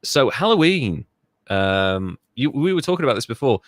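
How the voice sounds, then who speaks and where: A man's voice: mid-range voice, sing-song, moderate pace, no echo, studio quality. A man hosting a podcast, chatting casually and rambling, often stopping himself mid-thought and laughing. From England. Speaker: podcast host.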